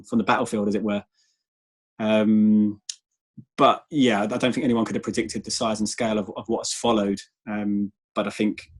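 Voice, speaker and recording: A man speaking as a guest in a conversational business interview, measured and often repeating words as he goes. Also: fairly low pitch. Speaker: interview guest, sales manager.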